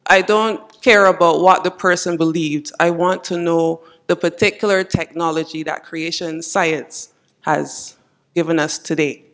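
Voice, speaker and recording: A woman's voice: fairly low pitch, steady tone, unhurried. A woman with a Barbadian accent asking a lecturer a question from the floor of a large hall, pressing for specifics. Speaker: audience member asking a question.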